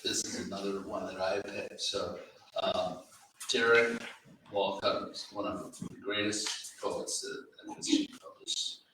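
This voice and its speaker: A man discussing literature informally as an editor on a panel, halting and reflective, often repeating his words. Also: low-pitched, fairly even intonation, bright, forceful tone. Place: indoor event room with audience. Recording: echoey room, distant mic, faint noise.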